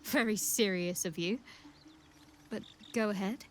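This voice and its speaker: An actress playing a guarded, wry young cleric of a dark goddess in a video game, speaking in a measured, reserved way. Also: female voice, medium pitch, a little hiss or hum, close to an everyday voice. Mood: wry, warm. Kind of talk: video game character dialogue.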